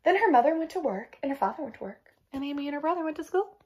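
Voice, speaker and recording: A woman's voice: sits high, animated, very fast, a little mellow. A young woman reads a children's picture book aloud expressively and emphatically, with chatty asides. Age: young adult. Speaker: read-aloud storyteller. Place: small indoor room at home.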